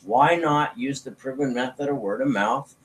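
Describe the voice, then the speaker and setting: A man's voice: mid-range voice, normal speed, low-quality recording. A man giving an informal sales presentation as a network marketing promoter, talking in a folksy, persuasive way.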